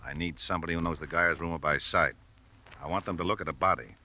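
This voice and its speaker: A man's voice: sits low, quick pace, loud music behind the voice, lightly acted. A man playing a brusque, impatient police lieutenant in a 1950s radio drama, with a clipped, blunt way of questioning. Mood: businesslike. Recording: muffled, noisy old radio broadcast recording.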